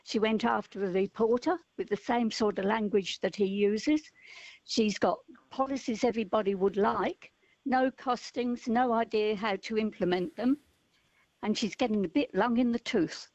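A woman calling in to a talk radio show, her voice croaky, blunt and dismissive. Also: fairly high pitch, normal speed, a little mellow, no room sound. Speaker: caller. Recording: dull phone line.

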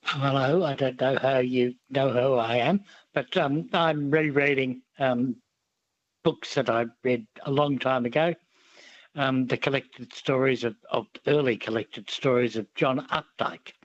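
A man's voice: mid-range voice, no room sound, lo-fi sound. A man calling in to a radio show, hesitant and thoughtful, with many ums. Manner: halting, slow, full of ums, thoughtful. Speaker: caller. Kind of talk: phone-in chat.

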